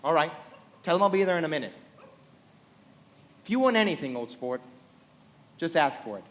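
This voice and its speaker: An actor playing a courteous, assured young millionaire host in a radio play, with genteel politeness. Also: male voice, a little high, sing-song, moderate pace, a bit bright. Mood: genial courtesy. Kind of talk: live radio play acting. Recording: reverberant live hall.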